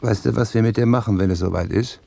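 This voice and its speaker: An actor playing a cruel bully in a radio drama, contemptuous and commanding toward those weaker. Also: male voice, lowish voice, fast, vocal fry, warm tone, no room sound. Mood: menacing. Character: cruel, menacing male bully sneering at a weaker man.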